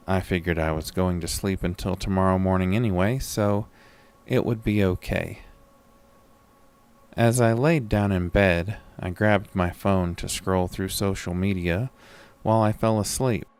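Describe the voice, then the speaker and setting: A man's voice: sits low, measured pace, darkish tone, smooth. A man narrating a first-person horror story from a script.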